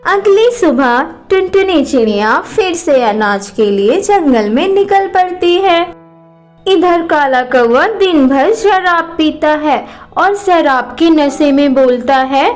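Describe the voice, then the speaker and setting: A woman's voice: high-pitched, animated, slow, soft background music. A woman narrating a scripted children's cartoon story.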